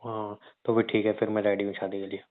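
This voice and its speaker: A man's voice: fairly low pitch, little pitch movement, fast. A young man in a casual, bantering relationship phone call, talking in a slangy, coarse and insistent way. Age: young adult. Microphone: phone line. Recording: tinny narrowband phone call.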